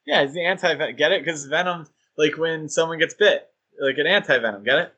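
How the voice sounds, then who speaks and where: A man's voice: fairly high pitch, moderate pace, bright, forceful tone, lo-fi sound. A man co-hosting a podcast, talking casually and joking with many fillers. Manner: chatty, joking, with frequent fillers. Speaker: podcast co-host.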